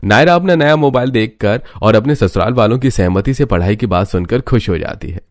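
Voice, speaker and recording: A man's voice: on the low side, expressive, a little fast. A man reading a scripted family story as narrator. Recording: music bed under the voice.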